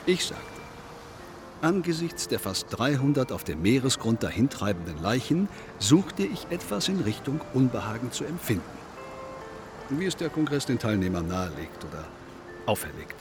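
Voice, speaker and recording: An actor in a radio play playing a self-absorbed congress guest who philosophizes in a pompous, long-winded, declamatory way. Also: male voice, medium pitch, very animated, moderate pace, music in the background, lightly acted. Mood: detached musing. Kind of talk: German radio play acting.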